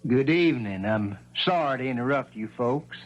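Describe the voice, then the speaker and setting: An actor playing a cruel, smooth-talking younger country brother in a 1970s radio mystery drama, stammering as he schemes. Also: medium pitch, expressive, normal speed, muffled recording, lightly acted. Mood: politely sly. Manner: nice, pleasant talk masking menace, hesitant stammers. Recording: noisy old radio broadcast.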